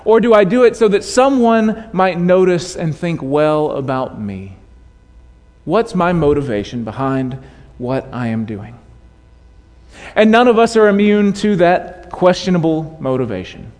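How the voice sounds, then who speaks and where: A man's voice: high-pitched, darkish tone. A man preaching a sermon to his congregation in a conversational way with light humor. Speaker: pastor. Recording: long hall reverb.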